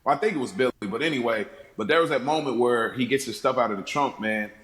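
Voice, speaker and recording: A man talks casually about film as a podcast panellist, in a medium-pitched voice with an echoey room around it. His retelling is animated, slipping into acted-out quotes and often chuckling. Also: quick pace.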